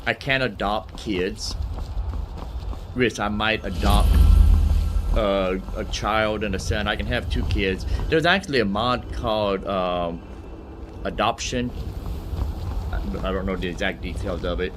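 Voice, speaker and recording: A man giving casual video game commentary as host of a roleplay playthrough, rambling and thinking out loud, often repeating his phrases. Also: lowish voice, a little slow, long pauses, noisy background.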